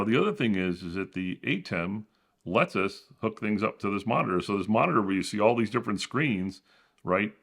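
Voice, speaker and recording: Low booming man giving a studio tour. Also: creaky, fairly bright tone. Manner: casual and explanatory, with frequent fillers and restarts. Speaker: channel host. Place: small home studio at a desk. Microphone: camera-mounted shotgun mic.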